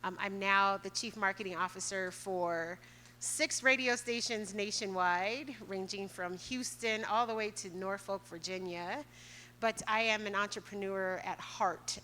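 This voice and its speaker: A woman who works in radio introduces herself to a workshop audience, chatty and lively. Her voice is bright, with a faint hum under the handheld mic. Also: medium pitch. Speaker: radio marketing executive and panelist. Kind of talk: panel self-introduction. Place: workshop room with a live audience.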